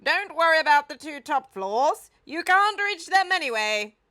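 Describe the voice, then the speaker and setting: A woman voicing a blustering, bossy but kindly old Duke in a children's storybook, loud and imperious. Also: high-pitched, big pitch swings, measured pace, pitched above the performer's own voice, heavily acted. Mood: bossy, exasperated.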